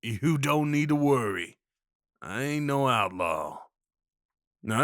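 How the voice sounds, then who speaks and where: A man's voice: fairly low pitch, pushed and bright, no room sound, studio quality. A man playing a friendly, courteous Old West cowboy in an audio roleplay, with a folksy drawl and rustic slang. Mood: reassuring. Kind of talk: audio roleplay acting, addressing the listener.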